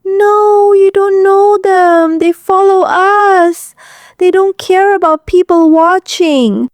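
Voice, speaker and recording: An actress playing a frightened, fragile young foreign woman in an audio drama, speaking quietly in halting, simple broken English. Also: extremely high pitch, no room sound. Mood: frantic, tearful.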